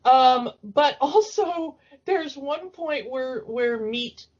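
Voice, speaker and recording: A woman in a casual, discursive fan chat about cartoons, talking in an animated, opinionated way. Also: high voice, very slow, muffled recording. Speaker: podcast co-host.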